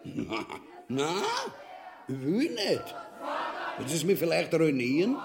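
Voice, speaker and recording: A man's voice: a little high, sing-song, slow pace, vocal fry, a crowd in the background, heavily acted. An actor playing a gluttonous, miserly, crude king in a grotesque radio comedy, blustering and stammering in an Austrian accent. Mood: stubborn, blustering.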